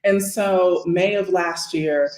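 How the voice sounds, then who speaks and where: A woman's voice: lowish voice, takes it slowly, a little mellow, roomy sound, low-quality recording. A forty-eight-year-old American woman from Northern California, telling her story casually as an interview guest.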